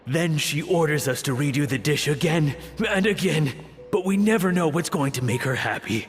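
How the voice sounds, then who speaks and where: A man plays a downcast young chef in an anime game, unsure of himself. His slightly high voice rises and falls expressively and hesitantly, over faint music. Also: expressive, normal speed, lightly acted. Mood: dejected, anxious. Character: dejected, anxious young male chef, self-doubting.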